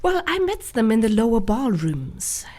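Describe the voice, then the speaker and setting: A woman's voice: on the high side, big pitch swings, normal speed, darkish tone. A woman playing a sociable, teasing Gothic wife in a radio drama, chatty and chiding. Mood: wistful, defensive.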